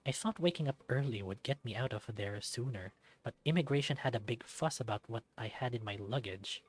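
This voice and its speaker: A man's voice: medium pitch, brisk, natural voice. A man voicing a self-deprecating young male otter, narrating a visual novel in the first person with wry self-mockery. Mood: mildly weary.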